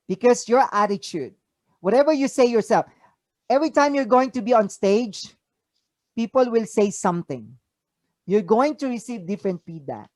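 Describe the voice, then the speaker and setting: Filipino-accented speaker, rousing rhetorical questions. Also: male voice, high voice, a little mellow, no echo. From Philippines. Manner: rhetorical questions, emphatic rises. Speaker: motivational speaker. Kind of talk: inspirational speech on a video call. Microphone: video call mic.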